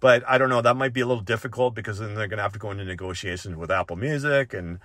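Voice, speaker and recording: A man with a fairly low voice presents a gadget tutorial in a casual, unscripted way. He sounds conversational, like someone explaining settings to a friend and checking they follow. The sound is very dry, with no room echo. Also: rapid-fire, a bit bright. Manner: conversational, often checking in with "right?". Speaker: tech reviewer giving a device walk-through.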